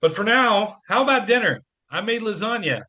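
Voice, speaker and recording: Guy acting a wisecracking single dad in an audio play, highish bright voice, theatrical and self-mocking. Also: lively intonation, normal speed. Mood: wry banter. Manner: theatrical, joking.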